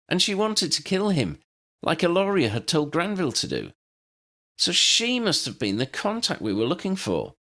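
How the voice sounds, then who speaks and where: Man voicing an earnest young woman, higher-pitched. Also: a bit bright, heavily acted. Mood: explaining. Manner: plain, earnest explaining. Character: earnest, perceptive young female sleuth, modest and explanatory.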